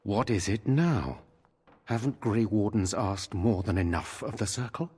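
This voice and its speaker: An actor voicing a haughty, grumpy mage in a fantasy video game, curt, indignant and formal. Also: male voice, fairly low pitch, unhurried, darkish tone, slightly rough. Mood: irritated, disdainful.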